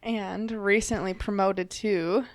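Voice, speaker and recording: A woman's voice: mid-range voice, steady tone. A woman hosting a podcast, asking questions in a chatty, casual way. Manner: chatty, quick reactions and laughter. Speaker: podcast host. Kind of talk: casual interview chat.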